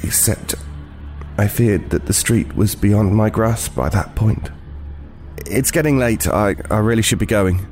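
An actor playing an uneasy homeless man who narrates his horror tale in a halting, brooding way. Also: on the low side, darkish tone, natural voice. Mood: fearful, hesitant. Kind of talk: dramatic first-person horror narration. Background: music.

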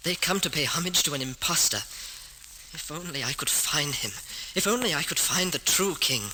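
A man's voice: fairly high pitch, normal speed, sharp tone. An actor playing an earnest, guilt-ridden young pauper mistaken for king, in formal old-time radio drama style. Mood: guilty, sorrowful. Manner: formal, archaic, impassioned delivery. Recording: noisy old radio recording, long reverb.

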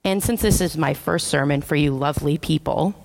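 Woman preacher, lower register, casual and self-mocking sermon delivery. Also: brisk, vocal fry, darkish tone. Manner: conversational, wry, self-deprecating. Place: church sanctuary.

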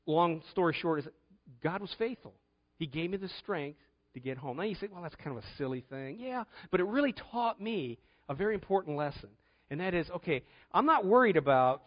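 Man, middle-aged, preacher, conversational storytelling sermon, earnest, restarts phrases, higher voice. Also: highs cut off. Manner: earnest, storytelling, repeats words when starting phrases.

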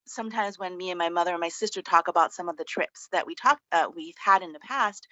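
Lower-pitched woman, slightly dull lo-fi sound. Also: a bit bright, no echo. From Singapore. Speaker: interview guest, food and travel journalist. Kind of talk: casual reminiscing interview chat.

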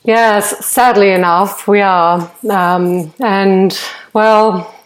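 A woman's voice: mid-range voice, steady tone, very slow, rough recording. Encouraging woman interviewer. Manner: encouraging, reacts with exclamations. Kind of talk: interview hosting.

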